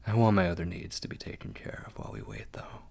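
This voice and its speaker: A man's voice: deep voice, steady tone, quick pace, darkish tone, lightly acted. A man playing an ancient, possessive and doting vampire lord in an intimate ASMR roleplay, murmuring formally and drifting into whispers. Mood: hungry, coaxing.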